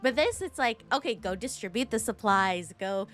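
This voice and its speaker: A woman's voice: medium pitch, lively intonation, moderate pace, a bit bright, soft background music. A woman streaming a game with casual, chatty commentary in a playful, rambling manner.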